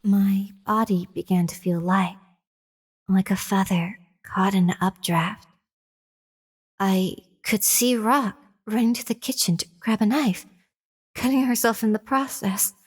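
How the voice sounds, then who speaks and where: A woman's voice: medium pitch, fairly even intonation, unhurried, husky. A woman playing a dying young woman who wistfully narrates her last moments in a horror radio drama. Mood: wistful, fading. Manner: wistful, literary storytelling.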